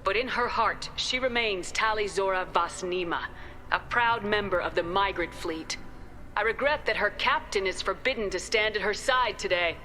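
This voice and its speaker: A woman's voice: medium pitch, moderate pace, sharp tone, a little hiss or hum, natural voice. A woman playing a resolute, diplomatic starship commander in a video game, speaking firmly and formally. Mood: firm, composed. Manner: firm, formal. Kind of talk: dramatic video game acting.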